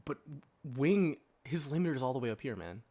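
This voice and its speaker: Man with very animated pitch, podcast co-host. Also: brisk. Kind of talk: casual chat.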